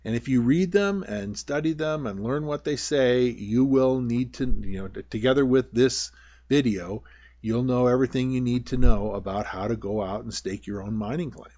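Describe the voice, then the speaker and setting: A man's voice: medium pitch, normal speed, mellow. A man who works as a prospector gives an informal, plain-spoken tutorial over slides, often circling back to repeat his points. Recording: dull, lo-fi voice-over.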